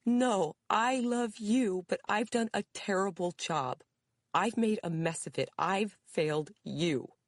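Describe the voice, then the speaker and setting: A woman's voice: mid-range voice, takes it slowly, dry recording, clean studio sound, close to an everyday voice. A woman reading aloud, voicing a distraught mother who sobs and blames herself. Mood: anguished. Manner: sobbing, anguished. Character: distraught mother, sobbing, self-blaming.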